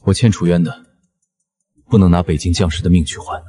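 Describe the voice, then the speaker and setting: A man's voice: low voice, fairly even intonation, brisk, warm tone, lightly acted. A man playing a bold, loyal young general in a historical drama, given to teasing. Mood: grave resolve. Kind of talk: dubbed historical romance drama acting.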